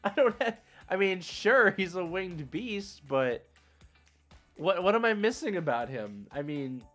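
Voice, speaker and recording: A man's voice: sits high, very animated, measured pace, fairly bright tone, faint music behind. A man live streaming a game, chatting casually with viewers in a rambling, conversational, slangy way. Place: indoors, solo at a streaming setup.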